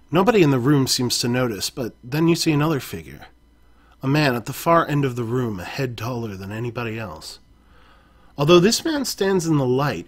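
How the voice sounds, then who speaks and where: Male storyteller with a husky, mid-range voice; reads an interactive adventure aloud and fixes his own slips. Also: medium pitch. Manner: second-person narration, occasional self-corrections.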